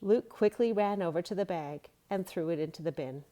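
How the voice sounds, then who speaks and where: A woman's voice: on the low side, fairly quick, warm tone. A woman narrating a read-along storybook and giving yoga instruction in simple phrasing for young children.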